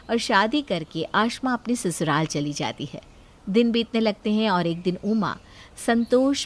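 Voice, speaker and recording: A woman storyteller reading a scripted moral story as narrator. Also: on the low side.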